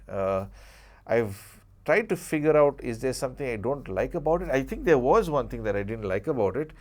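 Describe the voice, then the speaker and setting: A man's voice: fairly low pitch, darkish tone. A man giving a casual, unscripted product review, hesitating and rephrasing as he goes and repeating points for emphasis. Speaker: tech reviewer, channel host.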